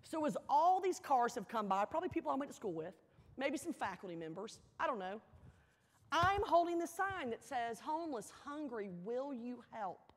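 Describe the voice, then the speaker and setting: A woman gives a motivational storytelling speech to an audience, earnest and emphatic, with dramatic pauses and repeated phrases. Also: high-pitched, big pitch swings. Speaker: motivational speaker at a university welcome event. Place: large indoor hall, addressing an audience. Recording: echoey large hall with long reverb.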